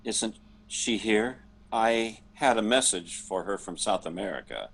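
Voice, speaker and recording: A man acting in a 1940s-style radio drama as a courteous, bewildered uncle back from travels, polite and halting. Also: lowish voice, expressive, fairly bright tone, heavily acted. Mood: bewildered, dismayed.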